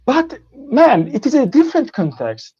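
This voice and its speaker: Man, high voice, hesitant and defensive, muffled lo-fi call. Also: wildly swooping pitch, normal speed, warm tone, breathy edge. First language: Turkish. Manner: hesitant, defensive, searching for words. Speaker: caller. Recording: dull lo-fi call audio.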